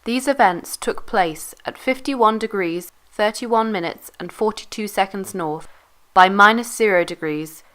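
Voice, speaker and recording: A woman's voice: medium pitch, a little slow, fairly bright tone. A woman reading a formal, factual historical narration from a script.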